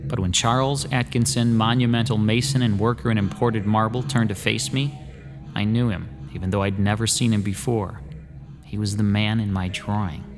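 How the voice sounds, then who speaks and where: A man playing a shaken, halting artist. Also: lowish voice, fairly even intonation, music in the background, lightly acted. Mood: haunted. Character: uneasy young male artist, shaken. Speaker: protagonist and narrator. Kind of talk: radio drama acting.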